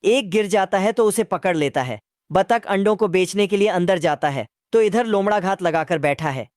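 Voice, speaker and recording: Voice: mid-range voice, moderate pace, noisy background. A narrator recapping a cartoon in a casual, chatty way with a bit of street slang. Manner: asks viewers to comment.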